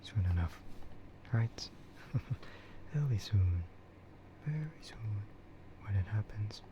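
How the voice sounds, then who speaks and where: A low-voiced man whispers his way through a casual ASMR stream, breathy and hesitant. He speaks slowly and rambles, close to the microphone. The sound is a little muffled, with noticeable background noise. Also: lively intonation. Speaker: livestreamer and content creator. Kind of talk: whispered ASMR livestream chat.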